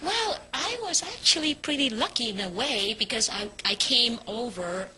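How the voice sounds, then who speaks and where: A woman from Hong Kong chats as an interview guest, telling her story with many small restarts. Her voice is very bright and sharp. A faint hiss of background noise sits under it. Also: a little high, expressive, normal speed. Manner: chatty, storytelling with frequent restarts. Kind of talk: casual interview chat.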